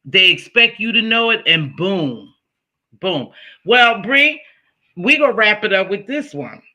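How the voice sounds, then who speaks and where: Guy hosting a casual interview, high pitched, super bright tone, chatty and storytelling. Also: big pitch swings, unhurried. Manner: chatty, storytelling, asks questions. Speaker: interview host.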